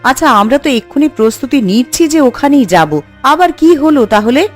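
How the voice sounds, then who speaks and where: A woman's voice: high voice, animated, moderate pace, faint music behind. A woman playing a modest, honest village sister-in-law and cook in a cartoon. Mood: worried. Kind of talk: Bengali village cartoon voice acting.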